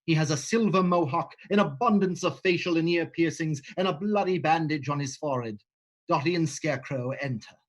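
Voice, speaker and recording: A man's voice: a little high, brisk. A man reading stage directions. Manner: plain, even reading.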